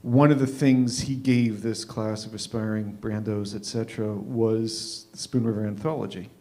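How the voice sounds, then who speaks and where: A man in his fifties from Canada hosting a live show, telling a personal story informally in a wry, reflective way with deliberate emphasis. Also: on the low side, fairly even intonation, warm tone. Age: 50s. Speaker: host of a live readers' theatre show.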